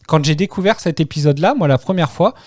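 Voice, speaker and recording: High-voiced man hosting a casual podcast. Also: quick pace, no room sound. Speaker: podcast host. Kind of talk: casual group podcast discussion.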